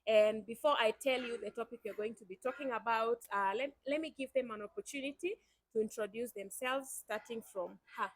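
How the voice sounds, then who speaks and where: A woman's voice: a little high, brisk, no room sound. A young Kenyan woman hosting her YouTube channel, chatting enthusiastically and speaking straight to her viewers. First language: Swahili. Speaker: YouTube host. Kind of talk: casual chat.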